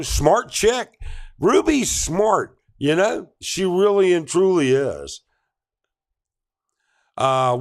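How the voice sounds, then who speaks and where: Middle-aged man, unhurried stream host, hesitant and rambling, mid-pitched. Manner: halting, many ums and restarts, reads chat aloud. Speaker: livestream host. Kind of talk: casual live Q&A reading chat.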